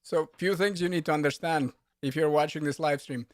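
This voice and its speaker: A man hosting a casual livestream in a halting, rambling way with frequent restarts, sounding matter-of-fact and unsmiling. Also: fairly high pitch, fairly even intonation, dry recording, studio quality. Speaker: livestream host, coding instructor.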